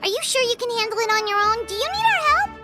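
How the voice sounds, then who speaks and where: A woman voicing a small, excitable companion character in a game, with a high, squeaky voice. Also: fast, over loud music. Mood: eager. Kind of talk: game dialogue.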